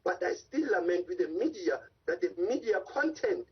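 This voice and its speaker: A very husky, breathy man with a high, swooping voice, arguing his case in long impassioned runs. He speaks over a dull, lo-fi video call line. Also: very animated, moderate pace. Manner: impassioned, argumentative, speaks in long run-on points. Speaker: Africa analyst and advocate, guest. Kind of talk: remote news interview.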